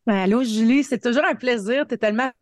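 A woman's voice: a little high, quick pace, no room sound, clean studio sound. A woman in her thirties with a Franco-Ontarian French accent, telling her story casually and colloquially as a guest, dropping in English words. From northern Ontario, Canada. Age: 30s. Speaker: podcast guest, marketing coach.

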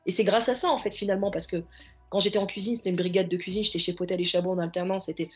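A woman's voice: medium pitch, steady tone, rapid-fire. A woman therapist and coach, a podcast guest, speaking earnestly in reflective interview talk and often circling back to find her words. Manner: earnest, searching for words, stacking restarts.

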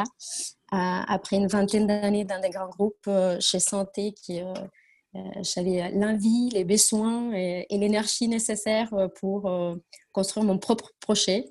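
A woman in her forties from Argentina with an Argentine Spanish accent, a guest on an interview, speaking slowly with many pauses and restarts as she searches for words. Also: medium pitch, steady tone, a little mellow. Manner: hesitant, many fillers and restarts while searching for French words. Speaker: guest entrepreneur. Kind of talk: casual interview talk.

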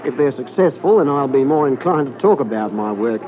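A man playing a likable, smooth, evasive smuggler posing as a scientist in a 1950s radio drama. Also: mid-range voice, animated, a little fast, a crowd in the background, close to an everyday voice. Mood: affable, evasive. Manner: affable, polite, evasive. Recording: noisy muffled 1950s radio transcription.

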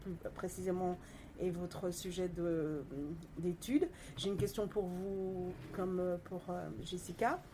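A woman's voice: on the low side, level tone, slow pace, background noise. A woman moderating a panel, introducing a guest and asking him a question with some fillers and restarts.

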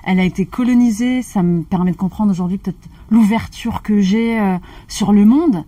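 A woman's voice: medium pitch, moderate pace, mellow, dry recording. A woman of Algerian descent reflecting on her family history in a casual conversation. She sometimes searches for her words. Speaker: conversation partner. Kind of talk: casual chat.

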